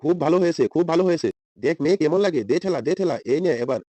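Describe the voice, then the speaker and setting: Old male cartoon doctor, acted by a man, huffy, scolding, very rapid, fairly high-pitched, repetitive. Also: mellow, no room sound, lightly acted. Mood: gloating. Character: indignant, proud old senior hospital doctor, male. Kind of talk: comedy cartoon dialogue.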